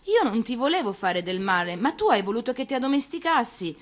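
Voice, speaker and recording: Woman voicing a high-pitched, naive little boy prince. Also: very animated, fairly quick. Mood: upset.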